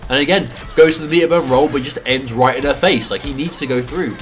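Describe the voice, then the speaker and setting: A British man with a head cold and a congested voice, doing quick analytical commentary on a fighting game. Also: medium pitch, faint music behind. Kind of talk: esports commentary.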